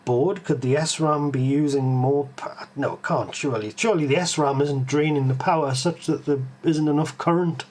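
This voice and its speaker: A Geordie man with a voice sitting a little above the usual male range. He hosts a live stream and talks his thoughts through, often pausing on ums and restarting sentences. His delivery is unscripted and conversational. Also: fairly high pitch, a little mellow. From Tyneside, England. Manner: hesitant, full of ums and restarts, musing aloud. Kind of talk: live tech stream, thinking aloud and answering chat.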